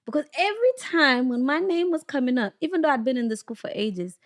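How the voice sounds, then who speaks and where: A woman in her thirties from Zimbabwe, a guest telling stories with lively energy in a podcast studio. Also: sits high, a little fast. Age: 30s. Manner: lively storytelling, acting out voices. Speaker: guest broadcaster. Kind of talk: casual conversation. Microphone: studio mic.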